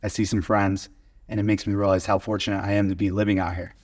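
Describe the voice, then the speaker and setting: Low-voiced young American man telling his story. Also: level tone, quick pace, darkish tone. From small town in Oklahoma, USA. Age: 20s. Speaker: podcast guest, video studio owner. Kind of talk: casual interview storytelling. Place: converted garage video studio.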